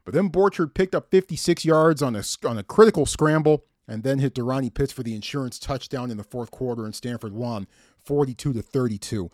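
A man's voice: medium pitch, brisk, darkish tone, no echo, studio quality. A middle-aged man hosting a sports podcast, telling game stories with enthusiastic, emphatic, conversational commentary.

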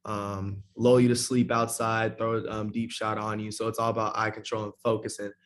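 Low, even-voiced young man. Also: normal speed. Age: young adult. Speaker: college football player. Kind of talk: casual interview answer.

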